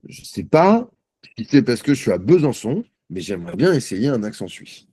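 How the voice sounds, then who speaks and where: A man giving a live software demo on a video call, chatty and self-mocking, thinking aloud with many fillers. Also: medium pitch, big pitch swings, normal speed, husky. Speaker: guest presenter.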